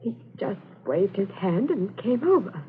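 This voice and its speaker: An actress playing a frightened, distraught daughter who speaks in halting, pleading repetitions in a 1940s radio drama. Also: female voice, medium pitch, big pitch swings. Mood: shaken, halting. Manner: pleading, halting, repeats herself. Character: distraught, frightened daughter confessing to her stern father. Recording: noisy, tinny 1940s radio broadcast.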